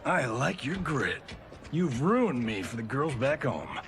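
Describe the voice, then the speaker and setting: Cocky, slow-drawling, wisecracking army officer. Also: male voice, medium pitch, big pitch swings, music in the background. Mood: flirtatious swagger. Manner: brash, slangy, wisecracking. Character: cocky, flirtatious wise-cracking male military officer. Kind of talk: old-fashioned tough-guy game acting. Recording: clear background noise.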